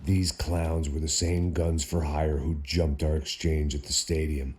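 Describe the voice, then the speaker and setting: Very deep, deadpan American tough guy. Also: measured pace. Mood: wry, brooding. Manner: deadpan, world-weary, sardonic. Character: weary, cynical middle-aged American ex-cop bodyguard narrating his troubles. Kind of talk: hard-boiled noir game narration and dialogue.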